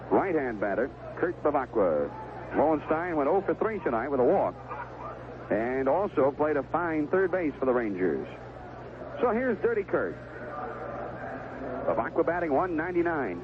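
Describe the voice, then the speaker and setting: An American male sportscaster calls a ballgame with a very lively, swooping delivery. He speaks in short, conversational phrases. The sound is a vintage radio broadcast, thin and phone-like, with clear noise behind him. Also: on the high side. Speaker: baseball play-by-play radio announcer. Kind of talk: live sports commentary. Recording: vintage 1970s AM radio broadcast, tinny, noisy.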